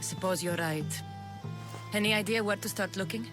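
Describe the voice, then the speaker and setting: A woman's voice: medium pitch, normal speed, over music, lightly acted. An actress playing a tough, blunt female mercenary in a video game, commanding and sardonic. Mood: dry, bargaining. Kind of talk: video game dramatic acting.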